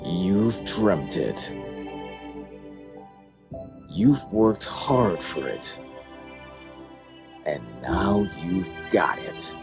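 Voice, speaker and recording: Man, low voice, commercial announcer, scripted read, clear background music. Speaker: advertisement announcer. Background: new-age background music.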